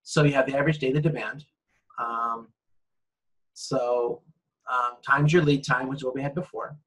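Guy doing a recorded class lecture, kinda husky, keeps backing up and fixing what he said. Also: mid-range voice, fairly even intonation, normal speed, fairly bright tone, rough recording. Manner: rambling, self-correcting with frequent ums. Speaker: university lecturer.

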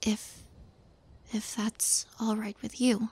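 A woman playing a sweet, shy young witch healer in an intimate audio roleplay, speaking gently and hesitantly, often near a whisper. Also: on the high side, flat delivery, takes it slowly, slightly rough, exaggerated voice. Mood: tender, concerned.

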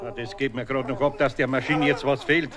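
An actor playing a good-natured but sometimes grumpy old master carpenter with a Bavarian accent in a radio play. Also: male voice, medium pitch, fairly even intonation, brisk, over a noisy crowd, close to an everyday voice. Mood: irritated. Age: older.

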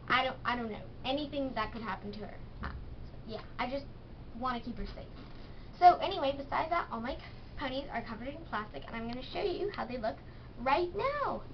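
A teenage girl chatting in a rambling, bubbly way in a casual home vlog, recorded on a handheld camera in her bedroom. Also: high voice. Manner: bubbly, rambling, many fillers. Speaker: pony toy vlogger. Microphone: handheld camera's built-in mic. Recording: dull lo-fi camera audio with hiss.

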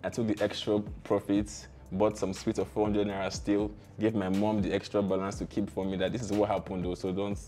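Young nigerian guy, deep voice, casual storytelling in an interview, faint music under it. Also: flat delivery, fairly quick, hardly any pauses, warm tone. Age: young adult. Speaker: guest, tech founder. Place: small curtained indoor studio, seated.

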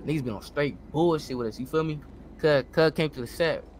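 A man's voice: fairly high pitch, brisk, a little hiss or hum, low-quality recording. An American man improvising gangster roleplay in a game, talking in profane street slang with repeated check-in phrases. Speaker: online roleplay player.

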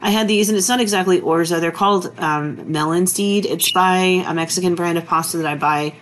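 Woman in her thirties, laid-back vlogger voice, lower register, faint room hum. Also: fairly quick. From Texas. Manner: chatty, relaxed, full of fillers. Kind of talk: casual kitchen vlog narration.

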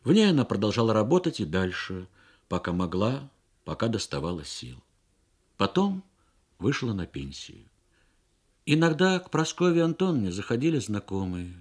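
Low-voiced man reading a literary story. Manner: measured, expressive phrasing with deliberate pauses. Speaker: story reader. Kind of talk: dramatic literary narration for Soviet radio.

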